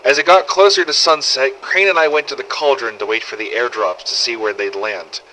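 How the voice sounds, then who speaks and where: An actor playing a foul-mouthed, cynical zombie-apocalypse survivor, narrating a wry audio diary through an old cassette recorder filter. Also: male voice, medium pitch, steady tone, a little fast, pushed and bright, poor audio. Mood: exasperated, sardonic. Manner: sweary, sardonic, world-weary storytelling. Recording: old cassette tape with faint noise.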